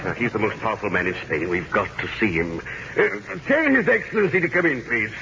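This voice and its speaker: A scheming, formal nobleman in 1940s radio comedy. His voice is bright and very animated, with theatrical exasperation. The sound is dull with faint hiss. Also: fairly low pitch, quick pace, exaggerated voice. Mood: exasperated.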